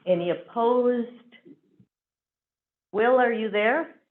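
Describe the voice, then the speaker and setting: A woman's voice: fairly high pitch, slow. A woman chairing a school board meeting, running through procedure with frequent fillers. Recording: tinny phone-like audio.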